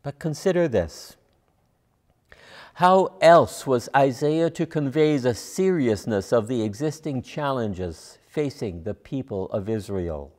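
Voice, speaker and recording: An older man preaches from a prepared text in a large, echoing church. His delivery is very slow and deliberate, with pauses inside phrases, and his voice sits at a medium pitch. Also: animated, a little mellow. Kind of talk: sermon, scripted and measured. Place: large church sanctuary, at a lectern. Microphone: lapel mic. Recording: long church reverb.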